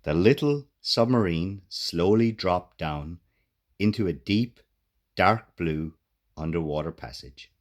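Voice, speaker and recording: Deep-voiced man narrating a children's story. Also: animated, takes it slowly. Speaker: author reading own children's book.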